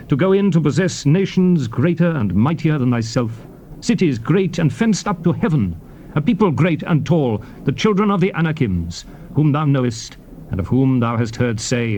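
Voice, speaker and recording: An actor playing a solemn prophet exhorting his people, grave and declamatory with measured phrasing, in a dramatized scripture recitation. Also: fairly high pitch, a little mellow. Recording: audible hiss on old cassette recording.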